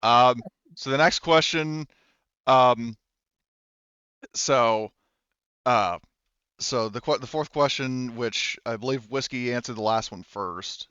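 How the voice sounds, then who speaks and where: A man's voice: medium pitch, slow, pauses often, bright, forceful tone, smooth, dry recording, muffled recording. A man working as game master, casually improvising narration for a tabletop roleplaying game.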